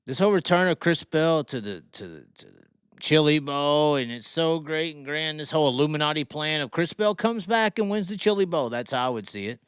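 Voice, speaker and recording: Guy ranting about racing, swears a ton, repeats himself for punch, smooth clear voice, dry close sound. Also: fairly high pitch, expressive, brisk, no room sound. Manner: brash, heavily profane, emphatic; repeats phrases for emphasis. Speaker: dirt racing commentator. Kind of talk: opinionated solo rant commentary.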